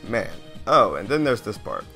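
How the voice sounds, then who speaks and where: A man's voice: fairly low pitch, very animated, moderate pace, over loud music. A man reading a scripted countdown narration as the host of a video.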